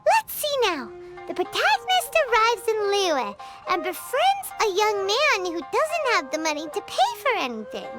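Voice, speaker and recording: Very high, squeaky little girl companion. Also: exaggerated voice. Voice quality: squeaky, childlike. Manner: exclamatory, animated. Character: tiny childlike girl companion, excitable and cheeky. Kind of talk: video game character dialogue. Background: prominent background music.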